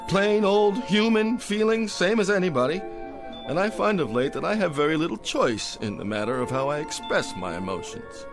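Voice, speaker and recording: An actor playing a drunken, down-on-his-luck store Santa in a radio drama, sad and gentle, rambling with slurred courtesy. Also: high voice, expressive, normal speed, over music. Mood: wounded, earnest.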